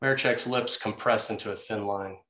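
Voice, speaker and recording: A man's voice: lowish voice, fairly even intonation, brisk, sharp tone. A man reading stage directions as the scripted narrator of a screenplay table read. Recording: echoey, roomy sound.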